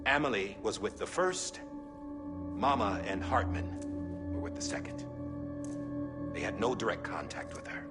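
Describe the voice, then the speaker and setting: An actor playing a masked male director who gives a secretive recorded message in a hushed, confiding way. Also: medium pitch, wildly swooping pitch, a little slow, long pauses, over loud music. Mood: grave. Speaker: director of an organization.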